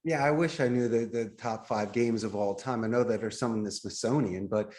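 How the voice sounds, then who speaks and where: A man's voice: mid-range voice, brisk, darkish tone. A middle-aged man speaking as an interview guest, earnest and emphatic in a conversational way. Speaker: podcast guest, company CEO.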